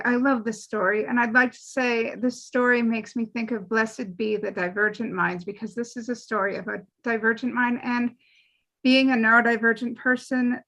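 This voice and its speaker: A woman's voice: a little high, creaky, a bit bright, low-quality recording. A woman introducing herself as a performer, speaking earnestly and emphatically. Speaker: table read performer. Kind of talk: self-introduction.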